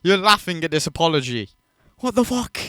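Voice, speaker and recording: A man's voice: a little high, sing-song, a little fast, pushed and bright, smooth, no echo, studio quality. A man hosting a reaction video, ranting in disbelief and swearing freely as he mocks what he watches. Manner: incredulous, profane, mocking.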